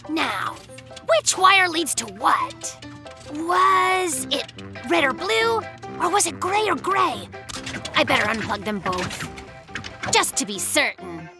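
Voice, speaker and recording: A very high-pitched little cartoon bear who fancies himself a genius inventor. The delivery swoops up and down, boastful and melodramatic, with words drawn out slowly. Clear music plays behind the voice. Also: sing-song, exaggerated voice. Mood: eager, boastful. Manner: exclamatory, melodramatic, stretches out words.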